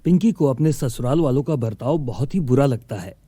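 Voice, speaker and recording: A man's voice: medium pitch, expressive, brisk, mellow, husky, no room sound. A man narrating a scripted moral story as a storyteller.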